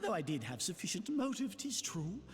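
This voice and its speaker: An actor playing a smug, scheming merchant who speaks in a pompous, mocking, old-fashioned way. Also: male voice, high voice, sing-song, moderate pace, breathy edge, in a large hall, exaggerated voice. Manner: pompous, mocking, archaic phrasing.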